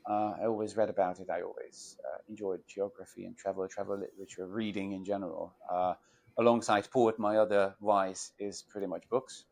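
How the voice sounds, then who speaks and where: A man's voice: low-pitched, steady tone, brisk, vocal fry, a little mellow. A man in his forties from Hungary, with a Hungarian accent, speaking English on a video call as a port wine educator, thoughtful with many fillers and restarts.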